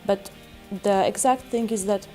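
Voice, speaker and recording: A woman's voice: mid-range voice, fairly even intonation, measured pace, mellow, soft background music. A woman, speaking earnestly in an interview. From Poland. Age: 20s. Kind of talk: casual interview answers.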